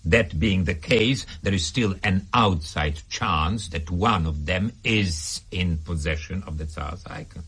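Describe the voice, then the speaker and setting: An actor in a radio drama playing a sly older Soviet bank chairman, measured, knowing and calmly menacing. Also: low-pitched, husky, full character voice. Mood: guarded. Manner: measured, knowing, hesitant pauses.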